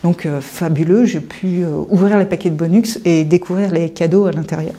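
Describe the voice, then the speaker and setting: A woman in her fifties from France, an artist telling her story in an informal interview in a hesitant, halting, reflective way. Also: fairly low pitch, steady tone, dark tone. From France, Paris suburbs. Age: 50s. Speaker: visual artist being interviewed. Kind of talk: informal guided-tour interview.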